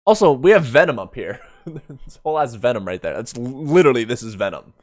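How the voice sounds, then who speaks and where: A man doing casual live reaction commentary as a streamer, excitable, joking and prone to swearing. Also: mid-range voice, quick pace, no room sound, clean studio sound. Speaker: streamer reacting to a fan motion comic.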